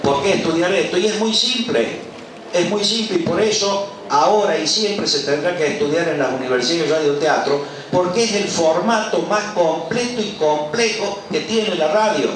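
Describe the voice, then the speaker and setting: A man's voice: sits high, normal speed. A middle-aged or older Argentine man, an author and professor, giving an informal public speech at a book presentation, with an emphatic manner and rhetorical questions. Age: middle-aged or older, over 50. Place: large colonial patio at a public event. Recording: echoey, distant, noisy live event recording.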